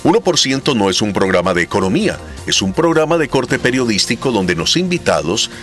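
A man's voice: medium pitch, very animated, a little fast, faint music behind. A man hosting a faith testimony program, greeting his audience and interviewing a guest in a formal broadcast manner.

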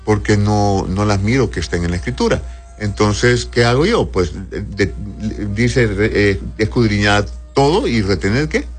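A very low-voiced man, a doctor who reads out viewers' messages and answers each one patiently. He calls people "corazón" as he advises them. Quiet music plays under his voice. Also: lively intonation, a little slow. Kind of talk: live call-in health advice show.

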